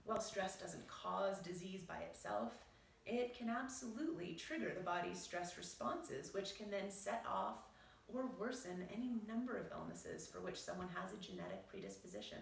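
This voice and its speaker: A woman physician reading aloud from her own book in a measured, explanatory way. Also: mid-range voice, vocal fry, far from the mic, a little hiss or hum. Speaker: physician and author. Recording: roomy echo, voice set back from the mic.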